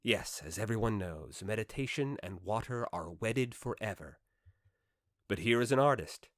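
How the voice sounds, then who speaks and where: A man narrating a literary audiobook with expressive, rhetorical delivery and measured phrasing. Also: on the low side, no echo. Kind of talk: dramatic literary audiobook reading.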